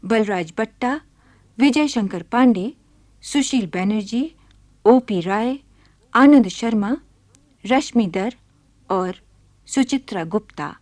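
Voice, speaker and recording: Woman, radio announcer, fairly high pitch, relaxed mellow timbre, formal, measured list reading. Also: dry recording. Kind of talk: formal scripted Hindi radio credits announcement.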